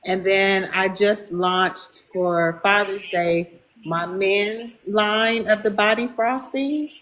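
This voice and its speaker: A woman in her fifties chatting casually as a podcast guest, bubbly and quick to laugh. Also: medium pitch, steady tone, fairly bright tone, a little hiss or hum. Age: 50s. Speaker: guest, body care business owner.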